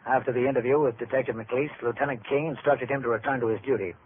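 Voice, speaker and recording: An actor playing a steady, authoritative police captain with measured, matter-of-fact speech in an old-time radio drama. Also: male voice, medium pitch, close to an everyday voice. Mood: flat narration. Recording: tinny, noisy vintage radio recording.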